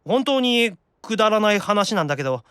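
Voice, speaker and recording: A man playing an excitable, whiny, easily embarrassed young otaku demon in an anime audio drama. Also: high voice, a little fast, clear, resonant tone, no room sound, pitched above the performer's own voice. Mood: sheepish. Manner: muttering confessions, then panicky pleading.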